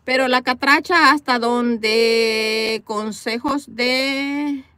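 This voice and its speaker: Lady in her car on a phone cam, sharp bright voice, outspoken gossip, calls things straight. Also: on the high side, steady tone, extremely slow, dry recording. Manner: blunt, scolding, outspoken. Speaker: vlogger reading live comments. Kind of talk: casual gossip commentary. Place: parked car, outdoors view. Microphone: phone camera.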